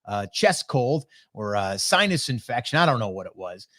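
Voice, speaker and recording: A man in his forties hosts a livestream podcast from his desk, his middle-pitched voice still a little hoarse from a recent cold. He talks in a loose, upbeat, chatty way, full of fillers. The sound is a plain desk mic over a video call. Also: sing-song, moderate pace, bright, forceful tone. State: slightly hoarse, recovering voice. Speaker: podcast host. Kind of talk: casual livestream podcast chat.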